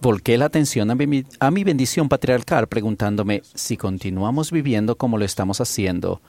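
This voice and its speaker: A man delivering a formal church conference sermon in the words of an interpreter, in a measured way. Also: medium pitch, expressive, darkish tone, no echo.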